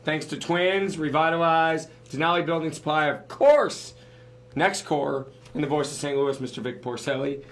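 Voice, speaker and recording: A man's voice: fairly high pitch, normal speed. A man co-hosting a live podcast, losing his voice, talking casually and cracking jokes. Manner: joking, loose, rambling. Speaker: podcast co-host.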